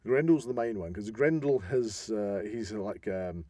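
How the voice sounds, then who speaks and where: A man with a low, husky voice talks through his subject like a keen explainer. He stops and starts, leaning on key words. The tone is very mellow and the sound is dry and close. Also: unhurried, no room sound. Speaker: podcast host. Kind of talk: informal explanatory discussion of an old legend.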